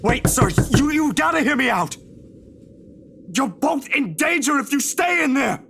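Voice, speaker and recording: Horror game role: frightened, pleading man, very high and tense voice, faint noise. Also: big pitch swings, measured pace, creaky, pushed and bright, full character voice. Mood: urgent pleading. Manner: urgent, pleading. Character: desperate, frightened man pleading to warn others of danger. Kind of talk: dramatic visual novel voice acting.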